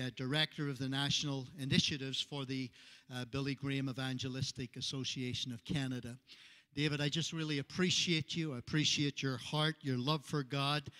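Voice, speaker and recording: An older man, the church's pastor, introducing a guest preacher with a handheld mic at a pulpit. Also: mid-range voice. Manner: warm, praising, standing at a pulpit. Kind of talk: formal introduction. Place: church sanctuary stage.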